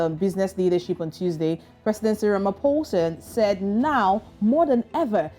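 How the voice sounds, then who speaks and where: A woman co-anchoring a news show, reading and asking questions with some halting fillers. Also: medium pitch, warm tone. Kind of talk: news presenting.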